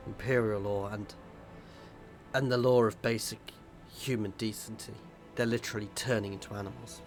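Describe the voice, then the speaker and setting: A man's voice: on the low side, steady tone, moderate pace, faint music behind, natural voice. A man reading as a pleading peasant rebel. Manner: pleading, hushed and earnest. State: slightly hoarse voice. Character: desperate male peasant rebel prisoner.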